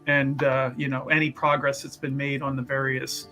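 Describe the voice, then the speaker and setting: A man playing a tabletop roleplaying game, explaining his character's doings in a halting, rambling way full of fillers and restarts. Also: mid-range voice, monotone, pushed and bright, soft background music. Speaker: player. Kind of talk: actual-play tabletop roleplay.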